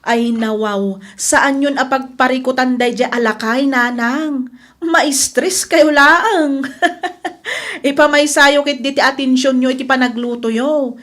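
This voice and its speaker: A woman's voice: high voice, takes it slowly, a bit bright. A woman playing a helpful, soothing grown daughter in radio drama, speaking in a reassuring, conversational way.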